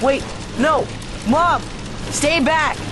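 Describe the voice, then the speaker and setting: A woman's voice: high-pitched, very slow, music in the background. A woman playing a frightened, pleading young boy possessed by an alien presence in an audio drama. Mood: desperate, warning. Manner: pleading, distressed.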